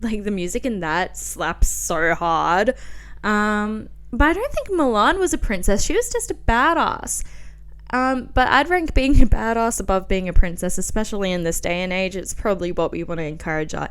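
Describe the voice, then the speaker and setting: A woman in her twenties hosting a podcast in casual, chatty style, rambling through asides with lots of slang and emphatic, expressive phrasing. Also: mid-range voice, moderate pace, clear, resonant tone. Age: 20s. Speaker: podcast host. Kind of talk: casual solo podcast chat.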